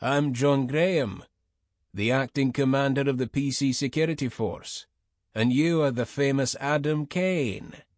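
A man's voice: medium pitch, measured pace, dry recording, studio quality, pitched above the performer's own voice, lightly acted. A man voicing a smug middle-aged Scottish mercenary commander in an audiobook, with a mocking, falsely polite manner.

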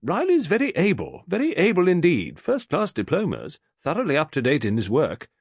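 A man voicing a large, formidable woman who calmly soothes her brother, loyal and dry. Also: fairly high pitch, moderate pace, gravelly, husky, no echo, pitched above the performer's own voice, full character voice. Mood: placating. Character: large, formidable, calm woman keeping house.

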